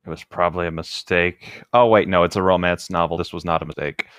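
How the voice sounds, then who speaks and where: A man's voice: sits low, steady tone, brisk, fairly bright tone. A man co-hosting a comedic read-along, reading aloud casually with dry, teasing asides.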